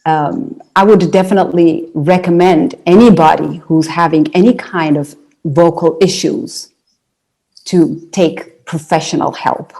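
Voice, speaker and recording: Mellow-voiced woman singer telling her story. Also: on the low side, steady tone, measured pace, creaky, poor audio. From Ethiopia. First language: Amharic. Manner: storytelling, with restarts and fillers. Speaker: interview guest, singer and speaker. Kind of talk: conversational interview.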